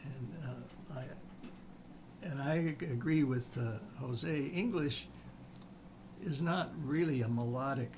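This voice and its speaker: Male host, very slow talker, rambles with fillers; noisy background. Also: medium pitch. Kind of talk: casual panel discussion.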